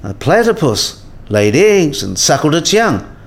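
A man's voice: fairly high pitch, sing-song, unhurried. A man playing a patient, scholarly professor in a radio play, explaining things in a didactic way.

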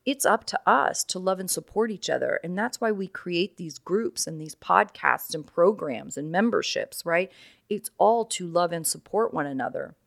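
A woman with a naturally raspy voice, hoarse right now, talking casually and earnestly as a solo podcast host. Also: lowish voice, expressive, normal speed, no echo, clean studio sound. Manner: encouraging, earnest, many "right?" asides.

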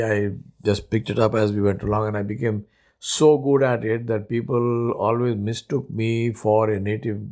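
Older Indian man, low steady voice. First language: Urdu. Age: 70s. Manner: unhurried, thoughtful, with frequent "uh" pauses and restarts. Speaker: podcast host. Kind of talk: reflective solo podcast monologue.

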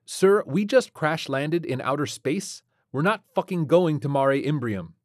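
A man voicing a weary, sardonic female spaceship lieutenant in an audiobook story, firm, curt and deadpan. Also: on the high side, unhurried, dry recording, clean studio sound, voice pushed up. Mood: exasperated.

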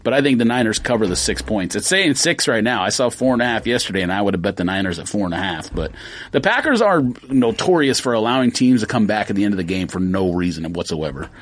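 A man's voice: fairly low pitch, animated, fast talker. A man co-hosting a podcast, chatting casually about football picks, analytical and laughing often. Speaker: podcast co-host. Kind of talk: casual sports chat.

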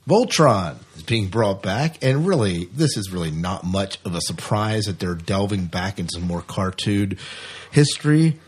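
A man's voice: sits low, big pitch swings, moderate pace. A man co-hosting a podcast, chatting casually about news and repeating himself for emphasis. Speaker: podcast co-host.

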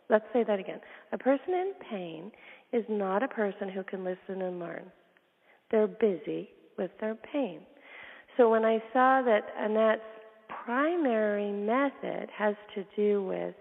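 A woman's voice: medium pitch, gravelly. A woman hosting an interview in a loose, chatty way with fillers. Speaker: host. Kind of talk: casual interview talk. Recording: tinny phone line with reverb.

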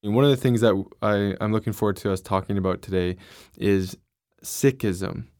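A man's voice: lowish voice, moderate pace, a little mellow, no room sound, studio quality. A man hosting a faith podcast, introducing his guest in an upbeat and friendly way. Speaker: podcast host.

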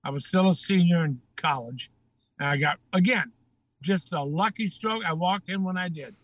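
A man's voice: high-pitched, normal speed, fairly bright tone, no room sound. An older man with a Texan accent, a former TV news anchor telling anecdotes and laughing as a podcast guest. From Texas. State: slightly changed voice from breathing trouble. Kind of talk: casual interview.

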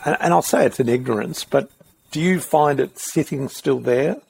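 A man's voice: mid-range voice, normal speed, vocal fry, a little mellow, husky. A middle-aged Australian man interviewing in a conversational, reflective way, circling around his questions.

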